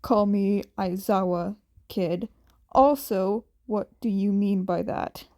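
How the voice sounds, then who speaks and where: A woman voicing a weary, blunt adult male hero in a fan fiction audiobook, with a deadpan manner. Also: medium pitch, takes it slowly, no echo, studio quality, pitched below the performer's own voice. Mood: flat, serious.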